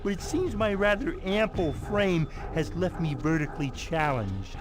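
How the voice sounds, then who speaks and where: An actor voicing a big, good-hearted, bumbling warthog in a video game, chatty and easily flustered. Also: male voice, on the high side, animated, unhurried. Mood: chatty, friendly. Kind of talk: animated video game voice acting.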